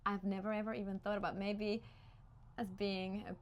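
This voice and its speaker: A woman from Finland hosting a casual interview, chatty and animated, often laughing as she talks. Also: mid-range voice, moderate pace, lo-fi sound, slight background noise. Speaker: interview host.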